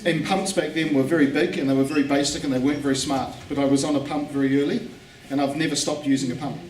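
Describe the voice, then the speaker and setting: A man giving a relaxed conference talk, repeating words for emphasis, with pauses for an interpreter. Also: fairly high pitch. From New Zealand. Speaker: guest speaker. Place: conference hall. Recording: echoey hall, distant mic, faint noise.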